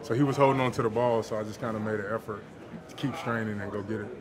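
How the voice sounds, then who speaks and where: A young man, a football player, answering reporters in a locker room after a game in a relaxed, easygoing way. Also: fairly low pitch, quick pace. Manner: relaxed, laid-back, with filler words and light laughs. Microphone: handheld reporter microphones. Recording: busy locker room crowd noise. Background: locker room chatter.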